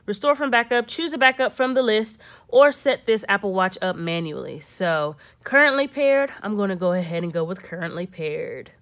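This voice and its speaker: A woman's voice: medium pitch, expressive, normal speed, fairly bright tone. A young woman hosting a casual step-by-step tech tutorial, thinking aloud and reading prompts in an informal way.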